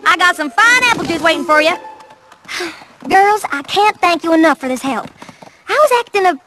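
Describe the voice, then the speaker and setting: Folksy Southern cartoon farm pony. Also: female voice, extremely high pitch, expressive, moderate pace, sharp tone, slight background noise, full character voice. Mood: grateful, sheepish. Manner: folksy, plain-spoken. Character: stubborn, hardworking young female farm pony. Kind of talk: animated cartoon dialogue.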